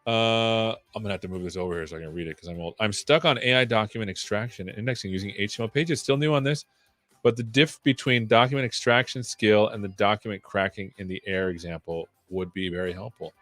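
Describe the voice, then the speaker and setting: A man's voice: on the low side, quick pace, a bit bright, no echo. A man hosting a casual livestream, rambling playfully with jokey asides. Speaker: live show host. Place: own room at home.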